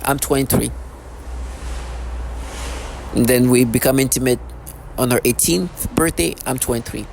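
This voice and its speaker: A man's voice: mid-range voice, measured pace, vocal fry, pauses often, a little mellow, slight background noise. A man in his thirties talking in a rambling, repetitive, agitated monologue as a vlogger. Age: 30s. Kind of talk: unscripted monologue to camera.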